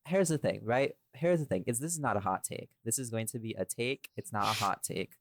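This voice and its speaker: A man's voice: medium pitch, a little fast, dry recording, studio quality. A man chatting casually as a podcast host, rambling and opinionated, with some casual swearing. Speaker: podcast host and audio drama writer.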